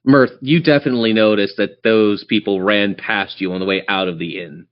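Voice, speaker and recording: A man's voice: fairly low pitch, fairly even intonation, normal speed. A man working as game master, improvising narration for a tabletop game over slightly muffled audio.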